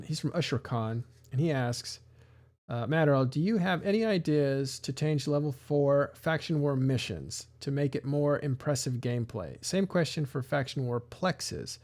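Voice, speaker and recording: A man's voice: mid-range voice, a little mellow, dry recording. Rambling man hosting a live stream. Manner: rambling, many restarts and fillers. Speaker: live show host. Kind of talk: casual live-stream chat.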